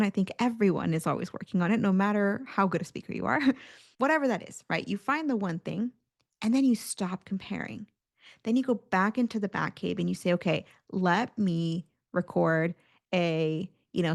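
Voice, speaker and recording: A woman's voice: on the low side, gravelly. A middle-aged woman, a career coach hosting a podcast, talking in a casual, encouraging way with plenty of "like" and "you know".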